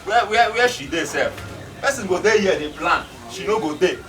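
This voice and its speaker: A man with a Nigerian accent playing an excitable, deferential gang underling in a film drama. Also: sits high, moderate pace, background noise. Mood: agitated. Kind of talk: film drama dialogue in Pidgin English. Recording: distant mic with music behind.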